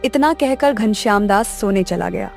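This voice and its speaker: A woman's voice: medium pitch, expressive, moderate pace, vocal fry, soft background music. A woman narrating a scripted children's moral story.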